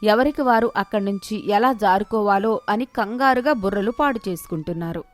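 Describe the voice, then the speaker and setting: A woman storyteller giving an expressive scripted narration of a moral tale. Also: medium pitch, fairly quick, soft background music.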